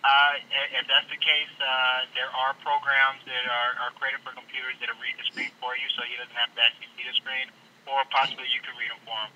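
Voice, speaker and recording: A man working in store tech support, explaining things patiently and politely in halting phrases over a telephone. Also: mid-range voice, flat delivery, sharp tone. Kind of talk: phone customer service, explaining. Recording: noisy telephone line, prank call recording.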